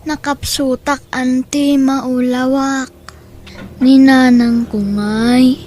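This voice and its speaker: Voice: high voice, little pitch movement, extremely slow, darkish tone, slight background noise. A performer playing a weary, obedient young boy in an audio drama, with an Ilocano Filipino accent and a plaintive manner. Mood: weak, longing.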